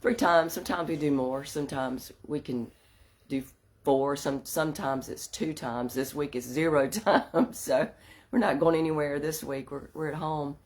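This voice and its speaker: A woman's voice: sits low, steady tone, moderate pace, darkish tone. Stuffy-nosed woman chatting on a live stream. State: stuffy-nosed with a slight sore throat. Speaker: host of a women's wellness group. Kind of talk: casual live-stream chat. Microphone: phone or webcam for a live stream.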